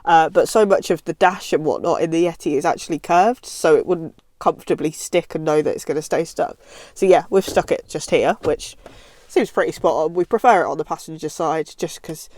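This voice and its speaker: A woman's voice: low voice, fairly quick, darkish tone, no room sound. A British woman giving a casual how-to chat as a vlog presenter inside a car.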